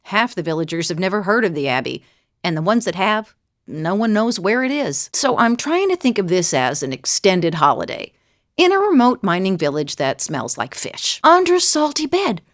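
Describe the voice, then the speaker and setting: A woman's voice: medium pitch, very animated, normal speed, no echo, studio quality, close to an everyday voice. A woman voicing a bold, teasing female fighter and wanderer in a fantasy video game, with a wry, conversational delivery. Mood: wry, friendly.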